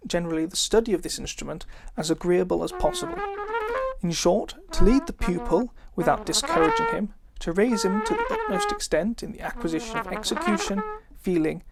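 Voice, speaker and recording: Low female voice, formal read-aloud, occasional stumbles, wildly swinging pitch, loud cornet playing throughout. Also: vocal fry. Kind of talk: reading an old instrumental method book introduction aloud. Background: live brass cornet playing.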